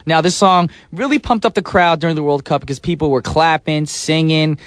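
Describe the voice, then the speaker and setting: A man's voice: on the high side, brisk, no room sound. A man hosting a radio music show, playful and chatty, sometimes breaking into sung bits and silly voices. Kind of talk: upbeat radio DJ patter. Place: radio studio. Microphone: studio microphone.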